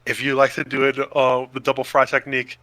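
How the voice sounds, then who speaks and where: Man, podcast co-host, enthusiastic, exclamatory, bright forceful voice, dry close sound, casual chat. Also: mid-range voice, monotone, quick pace, no echo, poor audio. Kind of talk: casual chat about food.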